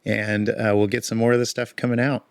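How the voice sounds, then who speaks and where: A man co-hosting a podcast in casual chat, a bit hoarse, talking in a relaxed, rambling way with fillers. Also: on the low side, fairly quick, dry recording. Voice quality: gravelly. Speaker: podcast co-host.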